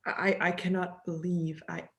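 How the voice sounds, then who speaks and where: A woman's voice: fairly low pitch, level tone, a little slow, gravelly, a bit bright. A woman playing a stern, protective staff member in a dramatic script reading. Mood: stern anger.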